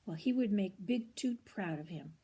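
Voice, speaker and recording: An older woman reads a children's storybook aloud in an expressive, grandmotherly way, with short asides to the listener. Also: mid-range voice, normal speed, breathy edge. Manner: expressive, grandmotherly, commenting on the pictures.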